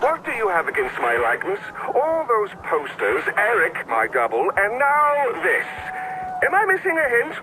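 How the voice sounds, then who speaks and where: An actor playing a smug, flamboyant male tyrant in a video game, sardonic and mock-courteous with deliberate pauses. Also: high voice, huge pitch swings, background noise. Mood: mocking, indignant. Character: smug flamboyant male tyrant, eccentric, polite yet menacing. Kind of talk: video game cutscene acting. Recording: dramatic music behind the voice.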